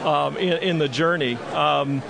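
A man's voice: a little high, unhurried, vocal fry. A man in his forties, a company executive, answering interview questions on a busy trade show floor with many "um" fillers, speaking into a handheld mic. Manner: lots of "um" fillers, gestures while talking. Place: busy expo hall floor. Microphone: handheld mic held by interviewer. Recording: noisy crowded expo hall. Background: crowd chatter.

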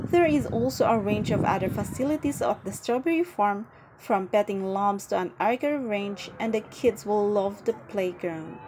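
A young adult woman vlogger reading a scripted travel voice-over in an even, informative tone. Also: fairly high pitch, background noise.

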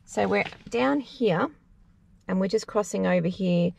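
A woman's voice: medium pitch, measured pace, gravelly, a little mellow, slight background noise. A woman in her forties with an Australian accent, chatting enthusiastically while narrating a family road trip vlog from the car. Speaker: travel vlogger. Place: inside a car and outdoors by a lake.

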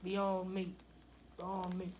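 A woman's voice: on the low side, completely flat delivery, very slow, a little mellow, a little hiss or hum. A woman doing an improvised comedy skit with plush toys on a living room couch, with a drawling, mumbly delivery. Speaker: puppeteer voicing plush toys.

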